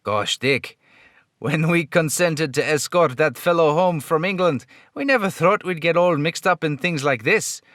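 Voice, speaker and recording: A man voicing an eager, chatty police sidekick in an old radio serial style, excitable and folksy. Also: on the high side, a little slow, dry recording, clean studio sound, pitched above the performer's own voice. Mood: eager, curious.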